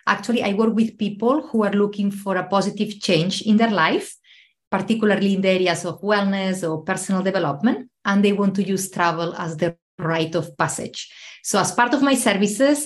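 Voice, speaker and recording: A woman from Spain, a podcast guest telling a personal story, laughing often as she talks. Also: mid-range voice. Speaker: guest, travel coach. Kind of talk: podcast interview.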